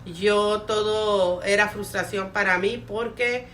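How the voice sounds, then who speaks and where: A woman's voice: medium pitch, fairly even intonation, slow pace, a bit bright. A middle-aged woman preaching and giving personal testimony in Spanish, her native language, in an earnest, emphatic way that leans on repetition. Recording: distant mic with faint background noise.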